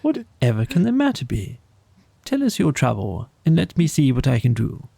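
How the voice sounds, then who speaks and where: A friendly storybook water rat, as a male narrator puts him on. He is brisk and kindly, with the chummy manner of an old-fashioned gentleman. The recording is dry and intimate. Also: medium pitch, wildly swooping pitch, vocal fry, slightly rough, no echo, exaggerated voice. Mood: kindly, concerned.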